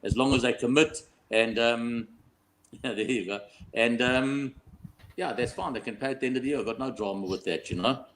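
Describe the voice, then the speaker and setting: A man's voice: mid-range voice, normal speed, a bit bright, a little hiss or hum, low-quality recording. A South African man chatting casually as a betting guest, bantering and often ending on tag questions. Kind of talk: casual chat.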